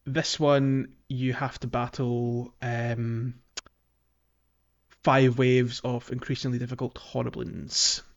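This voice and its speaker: A man's voice: mid-range voice, slow, vocal fry. Man with a slightly muffled podcast voice. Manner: relaxed, many ums, walks through game areas. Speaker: podcast co-host. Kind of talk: casual game discussion.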